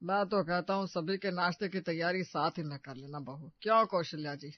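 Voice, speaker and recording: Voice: medium pitch, moderate pace, no echo, muffled recording, close to an everyday voice. An actor voicing an agreeable man of the family in an audio story, polite and eager to help.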